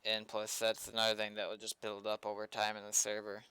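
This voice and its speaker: A man's voice: lowish voice, monotone, brisk, sharp tone, smooth, no room sound. A man giving casual live commentary as he works at a computer, muttering his thoughts aloud, recorded close on a desk mic with typing and clicks. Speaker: streamer developing a game server. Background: keyboard typing and mouse clicks.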